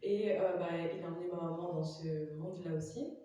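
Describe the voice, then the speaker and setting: A woman's voice: on the low side, steady tone, a little fast, dark tone, recorded from a distance, a little hiss or hum. Hesitant Belgian woman in echoey chapel. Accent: Belgian French. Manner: hesitant, many fillers. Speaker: testimony giver. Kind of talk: personal testimony in church, interpreted.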